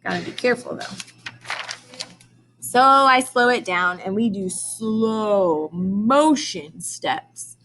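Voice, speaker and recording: A woman's voice: mid-range voice, lively intonation, slow, a bit bright, slight background noise. A woman teacher leading a read-aloud lesson for young children, prompting with questions and carefully sounding out key words. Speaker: early-grades teacher.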